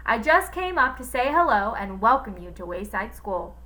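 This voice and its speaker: A woman's voice: big pitch swings, moderate pace, sharp tone. A woman doing a sweet, giggly teacher. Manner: high, sweet and flirty.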